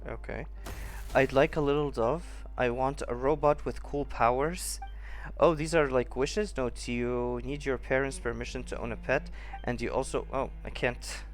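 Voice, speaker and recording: A man's voice: mid-range voice, fairly even intonation, moderate pace. A man giving casual, off-the-cuff commentary while playing a video game. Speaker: gaming streamer. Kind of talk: casual gameplay commentary, reading in-game notes aloud. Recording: game music behind the voice. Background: video game music.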